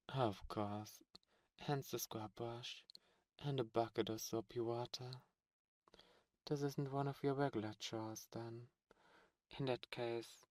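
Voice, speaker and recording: A man's voice: mid-range voice, no echo, close to an everyday voice. A man playing a flirtatious, imperious demon prince in an intimate ASMR roleplay, with theatrical, courtly phrasing. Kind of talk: ASMR roleplay audio drama, intimate close delivery.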